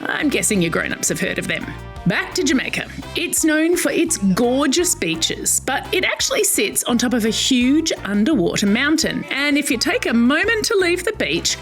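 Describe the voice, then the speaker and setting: A woman with an Australian accent narrates a kids' lesson. Her voice is high and lively and swoops up and down, and she sounds bright and playful. Soft music plays behind her. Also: normal speed. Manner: bright, playful, animated storyteller. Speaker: host. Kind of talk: scripted educational narration for kids.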